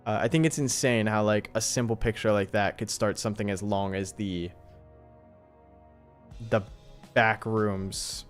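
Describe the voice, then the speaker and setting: A man's voice: lowish voice, steady tone, unhurried, long pauses, soft background music. A man hosting a livestream, chatting casually and informally in slangy, appreciative asides to his viewers. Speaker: live streamer and host.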